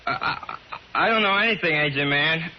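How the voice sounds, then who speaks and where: An actor playing a nervous, simple-minded carnival knife thrower in an old-time radio drama, stammering, hesitant and repeating himself. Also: male voice, on the high side, brisk, close to an everyday voice. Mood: nervous, evasive. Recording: muffled vintage radio with faint hiss.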